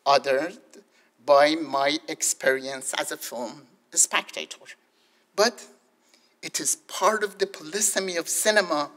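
An older man reads a scholarly talk at a podium mic in a university lecture hall. His voice is bright and fairly high for a man. He moves through the text slowly, pausing between phrases. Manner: slow, deliberate reading with measured pauses. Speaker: academic speaker. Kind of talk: reading a prepared scholarly talk. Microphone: podium microphone.

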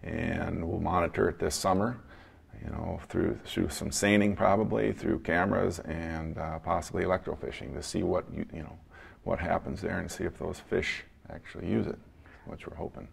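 Deep, gravelly male biologist. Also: steady tone. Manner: halting, with restarts and fillers. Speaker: fisheries biologist. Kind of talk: interview answers. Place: studio, seated.

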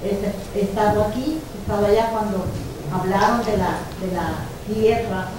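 A Colombian woman speaks up from the audience, halting and emotional. She sounds far from the mic, in a very echoey hall. Background noise stays audible. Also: medium pitch. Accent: Colombian Spanish. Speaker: audience member. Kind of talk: audience comment.

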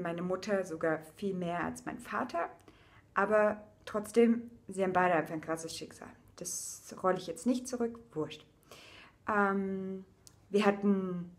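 A young woman from eastern Germany speaks straight into a webcam in a small room. Her talk is loose and unscripted, full of small fillers and restarts, and she turns softer and quieter on the painful parts of her story. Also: mid-range voice. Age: young adult. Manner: casual, searching for words, many fillers, drops quieter on painful parts. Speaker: vlogger. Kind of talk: personal video diary. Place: indoors, small room with a painting behind her.

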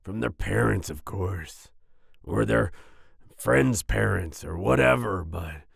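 Very gravelly, grumpy werewolf boyfriend. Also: male voice, fairly low pitch, no room sound, studio quality, heavily acted. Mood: angry, frustrated. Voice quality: gravelly, growling, husky. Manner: grumbling, slow, with grunts and growls. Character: big grumpy werewolf boyfriend, gruff but secretly soft. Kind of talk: ASMR boyfriend roleplay.

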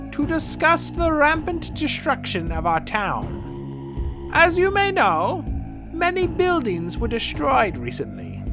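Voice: sits high, voice pushed up, exaggerated voice. A performer voicing a dour, domineering man of about seventy, a grave town official proclaiming in a fantasy audio drama. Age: around seventy.

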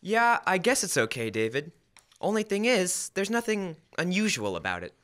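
A teenage male playing a lively, animated meddling dad. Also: sits high, normal speed. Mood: advising. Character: excitable, meddling suburban father. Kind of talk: old-time radio comedy acting. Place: school library. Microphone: standing stage microphone.

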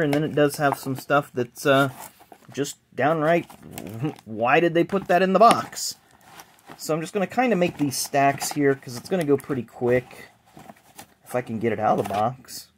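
A man's voice: a little high, animated, normal speed, a little hiss or hum. A middle-aged man chatting casually as he sorts through a box of cards, rambling with self-deprecating asides, recorded on the camera mic at a table indoors. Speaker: sports card collector vlogger. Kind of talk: casual unboxing chat. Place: indoor card room at a table. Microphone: camera mic, hands in frame.

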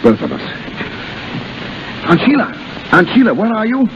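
An actor playing a boyish young Englishman in love, with halting declarations, in a 1930s radio drama. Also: sits high, wildly swooping pitch, background noise. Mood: excited. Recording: muffled 1930s radio broadcast with music.

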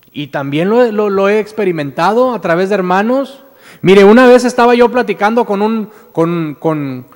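High-voiced Mexican preacher in reverberant church. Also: male voice. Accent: Mexican Spanish. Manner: earnest storytelling, frequent repetitions and restarts, rising emphatic declarations. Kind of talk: sermon. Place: church sanctuary with stage, indoors. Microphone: standing mic at pulpit.

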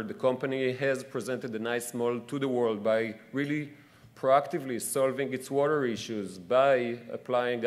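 A man in his thirties from New Jersey, an executive giving a business talk from a podium in an event hall, with many pauses and restarts. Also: mid-range voice. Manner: hesitant, frequent uhs and restarts. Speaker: company executive. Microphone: podium microphone.

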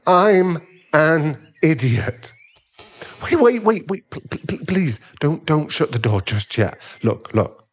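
A man's voice: a little high, sing-song, slow, breathy edge, full character voice. A man playing a remorseful sugar-daddy boyfriend in an ASMR audio roleplay, hesitant and stammering as he earnestly pleads for forgiveness. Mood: pleading, regretful.

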